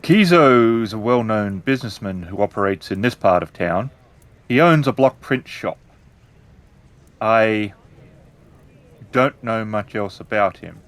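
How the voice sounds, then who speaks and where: A man playing a polite, cautious innkeeper in a samurai-era tabletop story, with a deferential, measured way of talking. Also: on the low side, lively intonation. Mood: guarded, helpful.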